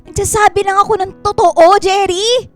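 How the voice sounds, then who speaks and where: An extremely high, indignant voice a Filipino wife working abroad. She is exasperated and defensive, scolding in radio drama style over background music. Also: fairly even intonation, normal speed, fairly bright tone. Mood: exasperated, curt. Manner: indignant, scolding, firing rhetorical questions. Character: defensive, exasperated migrant-worker wife and mother.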